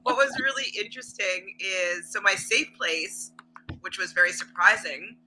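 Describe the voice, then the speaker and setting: A woman's voice: medium pitch, expressive, normal speed, bright, forceful tone, slight background noise, poor audio. A woman who is an actress and hypnotherapist, talking casually and reflectively, rambling with many fillers.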